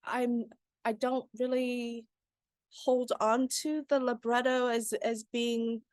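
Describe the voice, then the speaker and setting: Slow, thoughtful woman writer. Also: a little high, flat delivery, dry recording, clean studio sound. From Vietnam. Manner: thoughtful, halting, reflective. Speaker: writer, panel guest. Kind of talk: conversational panel discussion.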